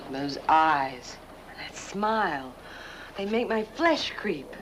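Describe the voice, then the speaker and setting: An actress playing a squeamish, anxious widow in a horror-comedy film, speaking in a fretful, dramatic way. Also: female voice, deep voice, sing-song, takes it slowly, full character voice. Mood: squeamish, disgusted. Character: squeamish, anxious young widow after an inheritance. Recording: noisy old film soundtrack.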